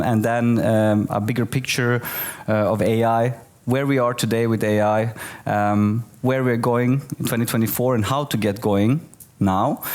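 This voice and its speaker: A man in his forties from Bosnia, giving a keynote talk to an audience, relaxed and full of fillers and restarts. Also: medium pitch. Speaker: keynote speaker. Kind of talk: conference talk. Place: office event space with audience.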